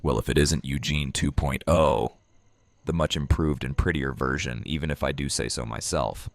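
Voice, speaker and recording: A man playing a gruff, teasing man with a wordy, folksy way of talking in an audio drama. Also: extremely low pitch, normal speed, no room sound. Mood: wry teasing. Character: gruff, smirking, beer-drinking man with wry humour. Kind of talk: fan audio drama acting.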